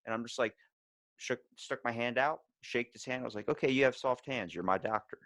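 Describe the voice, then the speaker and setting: A man's voice: mid-range voice, a little fast, fairly bright tone, no room sound. A man hosting a casual interview podcast, telling rambling, crudely joking anecdotes. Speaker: podcast host.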